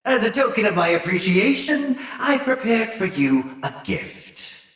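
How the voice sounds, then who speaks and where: A cruel older mad scientist from a video game, sinister and theatrical. He draws out his mocking words slowly, with pitch that leaps and dives dramatically. The voice rings in an echoey, reverberant space, full of condescension and glee. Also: sing-song, a bit bright, exaggerated voice. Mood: pompous, gloating. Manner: condescending, drawn-out, mocking articulation. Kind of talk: video game villain acting.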